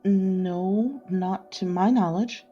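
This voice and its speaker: A woman's voice: medium pitch, takes it slowly, dark tone, soft background music. A woman playing a guarded, muffled witness. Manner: guarded, reluctant answers. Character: guarded female witness under oath.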